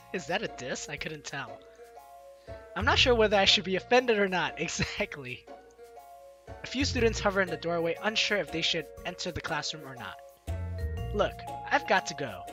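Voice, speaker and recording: A woman hosts a game playthrough, reading lines dramatically and commenting as she goes. Her voice sits fairly low but moves around a lot, playful and expressive. Game music is clearly audible behind her. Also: normal speed, pauses often. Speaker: gaming video host.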